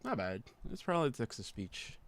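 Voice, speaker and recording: A man's voice: medium pitch, sing-song, moderate pace. A man streaming in a casual, laid-back way, reading text aloud and muttering short reactions to it.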